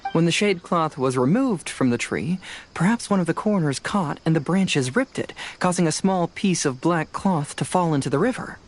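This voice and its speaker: A man voicing a sharp young male detective in a video game, speaking in a measured, deliberate way as he reasons things out. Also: on the high side, animated. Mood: analytical. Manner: measured, deliberate deductive speech. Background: game soundtrack music.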